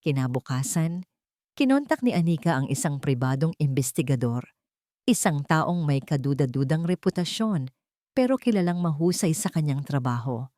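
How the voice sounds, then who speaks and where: A woman's voice: deep voice, expressive, moderate pace, warm tone, no echo, studio quality. A woman reading a scripted romance story as its narrator, in a dramatic storytelling style.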